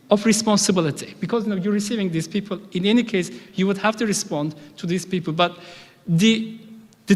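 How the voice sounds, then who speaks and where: A man giving a thoughtful public talk, often restarting his phrases and filling in with "you know". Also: high-pitched. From United Kingdom. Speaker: academic speaker. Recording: reverberant hall.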